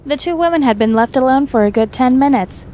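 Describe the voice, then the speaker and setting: A woman's voice: high voice, lively intonation, a little fast, background noise. A woman reading scripted fan fiction as the narrator.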